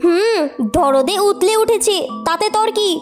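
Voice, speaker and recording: Woman as a haughty, high-pitched cartoon shopkeeper. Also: expressive, a little slow, soft background music. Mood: indignant. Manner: haughty, dismissive. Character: greedy, brazen woman shopkeeper, snappish and dismissive. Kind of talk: children's cartoon story dialogue.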